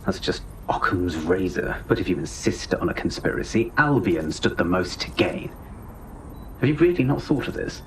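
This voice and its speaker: A haughty British spy villain, low-voiced and condescending. He speaks with pompous scorn in an echoey, noisy space. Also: very animated, moderate pace. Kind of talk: video game voice acting. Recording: echoey room with clear noise.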